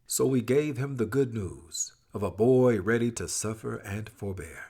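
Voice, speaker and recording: A man giving a solemn, dramatic scripture reading in a measured, expressive, formal manner. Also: lowish voice, breathy edge. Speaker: scripture reader.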